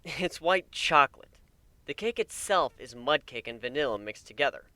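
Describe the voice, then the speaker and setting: Man as a crisp, bashful pony husband. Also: medium pitch, animated, normal speed, bright, forceful tone, dry recording. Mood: amused. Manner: sheepish, hesitant.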